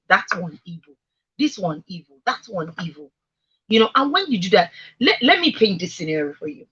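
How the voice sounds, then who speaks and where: A woman with a Nigerian accent and a husky voice in a conversational radio discussion, emphatically repeating phrases to make her point. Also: fairly low pitch, fairly bright tone, lo-fi sound. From Africa. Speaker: radio talk show speaker.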